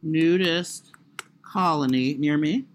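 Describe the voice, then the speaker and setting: High-voiced man, crude and self-deprecating. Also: slow pace. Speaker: podcast co-host. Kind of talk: casual comic chat.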